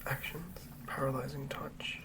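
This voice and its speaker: A person whispering breathily and deliberately close to the microphone, as the host of a fantasy game ASMR read-through. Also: mid-range voice, distant mic, rough recording. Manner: hushed, deliberate phrase-by-phrase reading. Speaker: host and game master reading a monster stat block.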